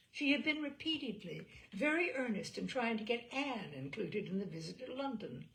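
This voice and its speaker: A woman narrating literary fiction with a very animated, swooping intonation. Her voice often drops into a creaky fry. She reads in a measured, storytelling way, stressing some phrases and hushing others. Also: medium pitch, rough recording. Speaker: audiobook reader and storyteller. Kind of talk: scripted literary narration, reading a classic novel aloud.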